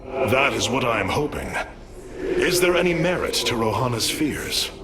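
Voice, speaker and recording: Man playing a commanding, expressive alien warrior leader. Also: medium pitch, normal speed, heavy background noise, lightly acted. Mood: grave, resolute. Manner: commanding, solemn, formal. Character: resolute, grave male alien warrior leader. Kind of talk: video game cutscene acting. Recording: loud game music underneath.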